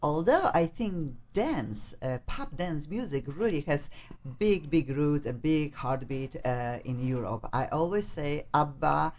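Female co-host, Polish accent, low voice, bubbly, muffled lo-fi sound. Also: expressive, a little slow, a little mellow. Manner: bubbly, laughs often, broken English. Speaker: radio co-host. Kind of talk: casual radio chat. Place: radio studio.

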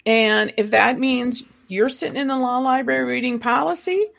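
A woman from Ohio co-hosting a podcast, chatting in an animated way with quick asides. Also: a little high, gravelly. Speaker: podcast co-host. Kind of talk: casual podcast chat.

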